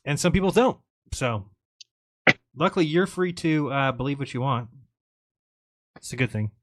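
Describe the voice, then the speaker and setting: Male call-in host, casual and joking, mid-range voice, relaxed live chat. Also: medium pitch, normal speed. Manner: friendly, joking with callers.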